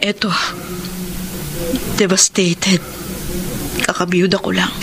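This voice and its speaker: An actress playing a remorseful, devout widow in a radio drama, with a Filipino accent and an earnest, emotional delivery. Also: female voice, lowish voice, little pitch movement, slow pace, background noise. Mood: surprised, sombre. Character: remorseful, devout widow, forgiving, seeking a fresh start. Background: music behind the voice.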